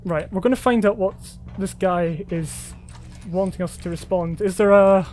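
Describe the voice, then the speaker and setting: A man's voice: sits high, moderate pace, a little mellow, faint music behind. A man hosting a gameplay video, chatty and self-deprecating as he thinks aloud. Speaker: host. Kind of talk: gameplay commentary.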